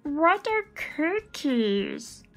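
A woman's voice: sits high, sing-song, very slow, a bit bright, soft background music, voice pushed up, exaggerated voice. A woman voicing a curious, friendly storybook space alien who explains things cheerfully and asks questions.

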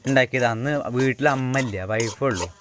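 A man from Kerala talks viewers through his home cooking in a casual, rambling way, circling back over his phrases. His voice sits at a middling pitch. Pans clatter and click noticeably behind him in a homemade recording. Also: brisk, background noise. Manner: rambling, repeats phrases as he works. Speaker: home cook and vlogger. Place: home cooking spot with wood fire.

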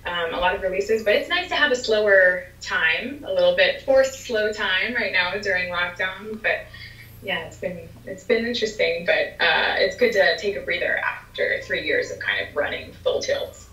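A young woman from Philadelphia with a softened American accent, chatting upbeat as an interview guest. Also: medium pitch, normal speed. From Philadelphia, USA. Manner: chatty and upbeat, gestures while talking. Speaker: singer-songwriter guest. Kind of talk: casual interview chat. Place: indoor room at home. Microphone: wireless earbuds on a video call. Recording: roomy, distant, dull video-call audio.

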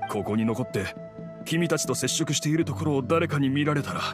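A man playing a middle-aged, resigned merchant and father in an anime game, with a measured, persuasive manner. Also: medium pitch, expressive, over music, close to an everyday voice. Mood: wary, resigned. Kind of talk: Japanese anime game voice acting.

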